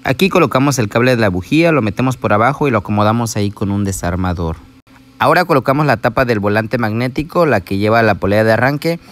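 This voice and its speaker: A man's voice: on the low side, animated, fast talker. A man talking viewers through a small-engine repair step by step, patient and explanatory, speaking to them directly as a voice-over.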